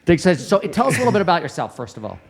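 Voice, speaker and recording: A man's voice: fairly high pitch, big pitch swings, very fast, slightly rough. A man in his fifties hosting a podcast interview, telling stories with animation and laughing often. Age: 50s. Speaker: podcast host.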